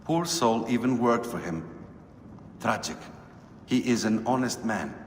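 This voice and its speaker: A man plays a kindly, grave temple priest in a game. His low voice is measured and echoes strongly around a hall, with faint noise. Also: natural voice. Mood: sorrowful. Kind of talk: dramatic game acting.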